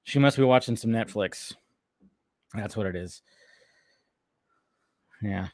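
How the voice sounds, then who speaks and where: A man's voice: on the low side, slow, no room sound, clean studio sound. A man co-hosting a casual discussion show, talking in a rambling, slangy way that wanders into tangents. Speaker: co-host of animated series discussion show. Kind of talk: casual chat.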